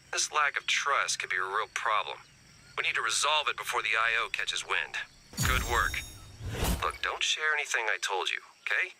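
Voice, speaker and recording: Suspicious game defector, low pitch, varied intonation, conspiratorial, confiding, noisy backdrop. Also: male voice, pushed and bright, heavily acted. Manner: conspiratorial, measured, hedging asides. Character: wary male defector turned resistance ally, confiding suspicions. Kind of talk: video game dialogue.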